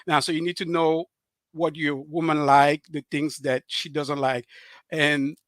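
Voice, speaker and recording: Halting middle-aged man, Cameroonian French accent. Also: fairly high pitch, flat delivery, fairly bright tone, no echo. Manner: earnest, halting with many fillers and restarts. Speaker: author and guest. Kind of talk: live show interview.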